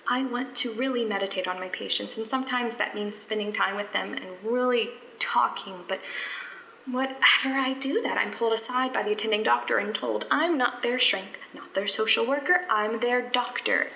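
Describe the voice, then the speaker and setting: Anxious young intern, echoey and bright. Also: female voice, on the high side, vocal fry, a little hiss or hum. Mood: frustrated, worried. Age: young adult. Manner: rambling, halting, self-conscious, theatrical sighs. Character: earnest, anxious young female medical intern, self-doubting and chatty. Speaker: actor. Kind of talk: audio drama monologue as recorded diary.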